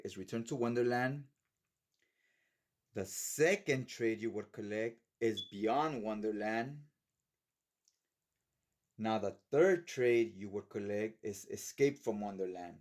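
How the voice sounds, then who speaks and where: A man's voice: medium pitch, a little mellow. A man giving a casual, unscripted video overview as a comic collector, chatty and informal, often checking in with a tag question. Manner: chatty, informal, often tags sentences with "right?". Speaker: comic book collector presenting a reading guide.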